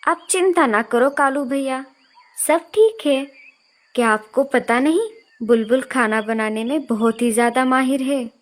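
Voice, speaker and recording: A high, sweetly helpful young female cartoon bird, acted by a woman. She speaks in an earnest, reassuring way, like a caring helper in a children's animal tale. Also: measured pace. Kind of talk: Hindi children's cartoon story voice acting.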